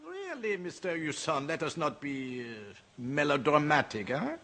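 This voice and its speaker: An actor playing a suave, sinister French doctor in a radio horror drama, with a French accent, a husky voice and over-polite manners. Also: male voice, a little high, very animated, fairly bright tone, exaggerated voice. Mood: silky, mocking. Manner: unhurried, over-polite, hesitating delicately. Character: suave, sinister French doctor, a fugitive killer, mockingly courteous. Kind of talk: old-time radio horror drama acting.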